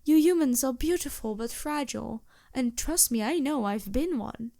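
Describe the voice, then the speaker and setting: A woman voicing a polite, anxious and gentle vampire with formal, earnest phrasing in a fantasy audio roleplay. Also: high voice, lively intonation, normal speed, gravelly. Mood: earnest, musing. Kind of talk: fantasy ASMR roleplay acting.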